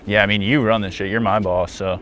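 A man's voice: on the low side, steady tone, a little fast, heavy background noise. A man in his twenties with an American accent, chatting casually as the host of a prank video. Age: 20s. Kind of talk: casual prank vlog chat. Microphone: wireless mic or camera shotgun mic.

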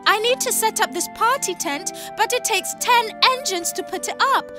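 Very high, friendly female engine. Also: normal speed, over music. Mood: worried. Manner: expressive, earnest. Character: warm, friendly young female steam engine. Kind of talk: children's cartoon voice acting.

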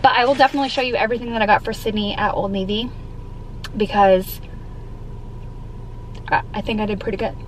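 A woman's voice: medium pitch, steady tone, fairly quick, long pauses, slight background noise, poor audio. A young woman chatting in an upbeat, casual way as a vlogger, filming herself at arm's length inside a parked car. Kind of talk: casual vlog chat. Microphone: camera held at arm's length.

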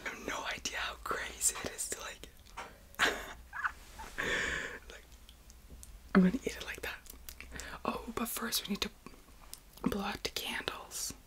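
A woman of about twenty whispering casual, excitable ASMR chat as a video host, often repeating words softly. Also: mid-range voice, a little slow, pauses often. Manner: whispers almost throughout.

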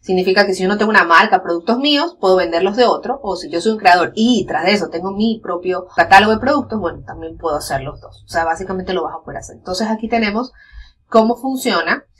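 A woman's voice: mid-range voice, quick pace, fairly bright tone, husky. Woman, 30s, chatty tutorial host, explains on a desk mic at home. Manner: chatty, explains step by step with many fillers. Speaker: online business educator. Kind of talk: screen-share tutorial. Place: home room, webcam corner inset.

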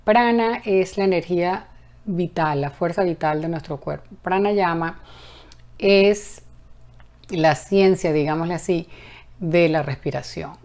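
Woman, 50s, lower voice, casual teaching tone, restarts phrases, phone camera, apartment room. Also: darkish tone. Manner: talkative, frequent self-restarts, slow chanting of mantra. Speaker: mantra teacher. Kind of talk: casual spoken lesson to camera. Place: bedroom apartment, indoors. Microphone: phone camera at close range.

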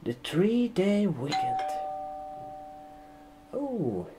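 A man in his twenties doing a soft-spoken ASMR barbershop roleplay, speaking haltingly in short phrases. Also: sits high, big pitch swings, noisy background. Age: 20s. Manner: slow, halting, hushed delivery with short phrases. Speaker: ASMR performer playing a barber receptionist. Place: indoor room, seated at a desk. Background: computer keyboard typing and clicks.

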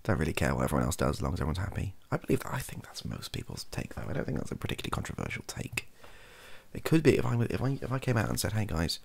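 Young British male, soft-spoken ASMR chat; husky, lively intonation, hesitant and informal. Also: low-pitched, racing. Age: young adult. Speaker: content creator. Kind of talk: softly spoken ASMR-style casual chat to camera. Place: small cluttered bedroom, indoors.

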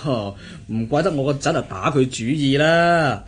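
A crafty middle-aged adviser in a Cantonese radio play. His husky voice swings up and down as he coaxes and teases with a Hong Kong accent. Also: medium pitch, lively intonation, normal speed. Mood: amused, coaxing. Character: sly, chuckling middle-aged adviser and scheming uncle. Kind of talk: radio drama acting.

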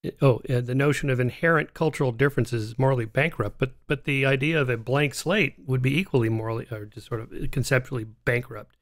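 A man in his sixties hosting an interview podcast, talking casually with halting restarts into a studio microphone. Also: medium pitch. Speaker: podcast host. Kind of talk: interview conversation. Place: home studio, indoors. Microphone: large studio mic on a stand.

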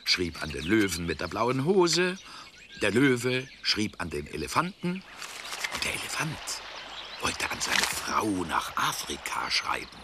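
A man's voice: on the low side, huge pitch swings, a little slow, noisy background. A man narrating a scripted children's story.

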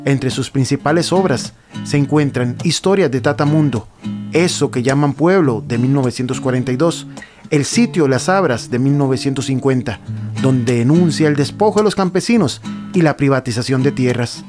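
A man presenting scripted radio narration in formal, measured phrases. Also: medium pitch. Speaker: history specialist, presenter. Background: plucked guitar music.

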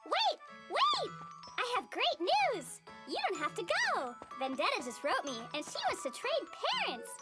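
Cheerful cartoon little girl, wildly swooping intonation, unhurried, naive, bubbly, squeaky, children's music underneath. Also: wildly swooping pitch, full character voice. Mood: excited, gleeful. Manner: bubbly, gushing, sing-song, easily delighted. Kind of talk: animated TV series character acting.

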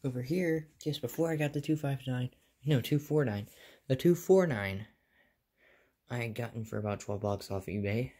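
A man's voice: mid-range voice, normal speed, warm tone, breathy edge. A young man with an American accent casually narrates a show-and-tell in short, offhand phrases, recorded on a handheld phone in a bedroom. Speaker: hobbyist video maker. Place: small carpeted bedroom, indoors. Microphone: handheld phone behind the camera.

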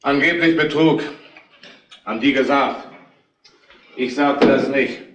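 An actor playing a shifty, indignant small-time crook in a retro crime radio play, evasive and colloquial. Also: male voice, mid-range voice, slow pace, fairly bright tone. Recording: roomy echo, faint noise.

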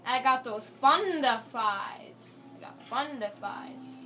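Woman gamer, slow, rambling jokes, game music behind. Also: high voice, expressive, pushed and bright. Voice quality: chatty, joking asides to herself. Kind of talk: casual gameplay commentary. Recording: game music playing in the room.

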